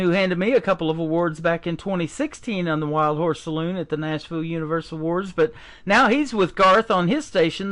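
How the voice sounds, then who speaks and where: A man's voice: a little high, fairly bright tone. A man hosting a radio interview, chatty and folksy, with frequent fillers. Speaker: radio show host.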